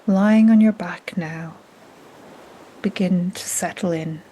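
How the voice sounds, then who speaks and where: A woman guiding a yoga nidra relaxation in a soft, breathy, half-whispered, soothing manner. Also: on the low side, takes it slowly. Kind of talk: guided relaxation meditation. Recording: steady soft noise like ocean waves. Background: gentle ocean waves.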